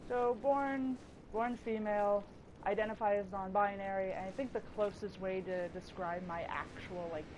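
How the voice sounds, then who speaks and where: A woman's voice: medium pitch, steady tone, normal speed, darkish tone, slight background noise, poor audio. A woman rambling casually while playing a video game, swearing freely and breaking off with startled outbursts. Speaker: gaming video creator. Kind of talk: casual rambling commentary over gameplay. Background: game music.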